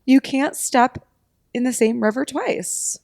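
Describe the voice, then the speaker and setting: Woman, young adult, raspy gravelly voice, podcast co-host, casual chat. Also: sits high, takes it slowly, darkish tone, no room sound, studio quality. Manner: laughs often, chatty and playful.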